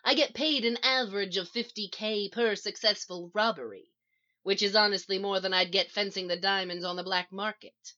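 A woman's voice: medium pitch, steady tone, normal speed, pushed and bright, lo-fi sound, natural voice. A woman reading as a mild, tired male supervillain in a story, matter-of-fact and subdued.

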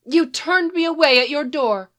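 A woman's voice: high-pitched, moderate pace, fairly bright tone, voice pushed up. A woman voicing a haughty, prim enchantress who scolds with lofty indignation in a storybook reading.

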